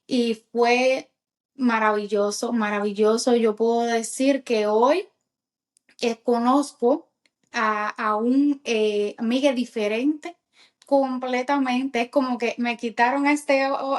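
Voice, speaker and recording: A Cuban woman in her thirties with a Cuban accent, a podcast guest telling her life story in a halting way, with many fillers. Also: fairly high pitch. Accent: Cuban Spanish. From Cuba. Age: 30s. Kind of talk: personal testimony in casual chat.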